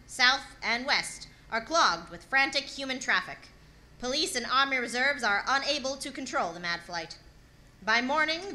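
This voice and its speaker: A woman playing a solemn, formal news announcer reading bulletins in a 1930s-style radio drama, with measured phrasing. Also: on the high side, pushed and bright, exaggerated voice, low-quality recording.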